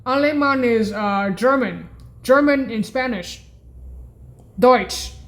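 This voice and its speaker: A woman rambling through a keyboard sound demo, thinking aloud, halting and repeating herself with questions. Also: a little high. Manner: halting, repetitive, questioning, often muttering to self.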